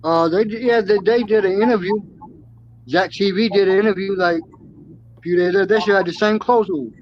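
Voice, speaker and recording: A man with a high voice rambles through his points and restarts his sentences often. His audio comes through dull and lo-fi. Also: steady tone, moderate pace, slight background noise. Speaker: guest. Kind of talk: casual live show chat.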